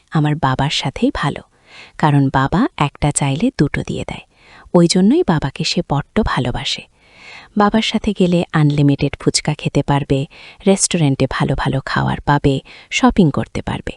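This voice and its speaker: A woman's voice: low-pitched, darkish tone, no echo, clean studio sound. A woman tells a family story in an informal, colloquial way, as a storyteller who voices the dialogue herself.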